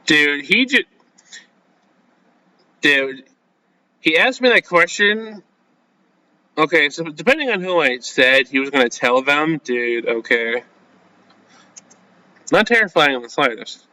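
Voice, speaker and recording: A man hosting a gaming video, reading a visual novel aloud and breaking off into flustered, halting, slangy reactions. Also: on the high side, very animated, sharp tone, rough recording. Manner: flustered, halting, self-censoring with casual slang.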